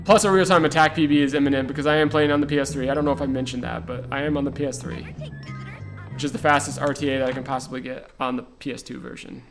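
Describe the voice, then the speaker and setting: A man's voice: mid-range voice, level tone, fast, gravelly. A man giving rambling, casual live commentary while speedrunning a game, with lots of "like" and "uh" fillers. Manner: chatty and rambling, full of "like" and "uh"; laughs at himself between points. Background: game music and sound effects.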